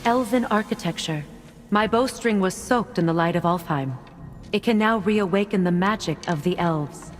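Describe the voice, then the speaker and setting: A woman playing a wise, solemn keeper of old magic in a video game, speaking in a measured, knowing, gently instructive way. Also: medium pitch, faint music behind, close to an everyday voice. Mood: guiding.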